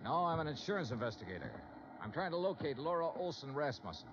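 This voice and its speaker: A man's voice: on the high side, very animated, quick pace, noisy background. An actor playing a terse, businesslike insurance investigator who narrates his case in a vintage radio drama. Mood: inquiring. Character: brisk, hard-boiled male insurance investigator. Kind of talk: 1950s radio detective acting with scripted narration. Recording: muffled old radio broadcast.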